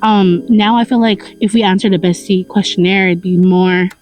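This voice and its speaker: A woman's voice: medium pitch, steady tone, moderate pace, darkish tone, poor audio. Bubbly American woman chatting over music. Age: early 30s. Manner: bubbly, informal, slangy, slips into Spanish. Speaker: vlog co-host. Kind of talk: casual chat reminiscing with a friend. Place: outdoors in a park.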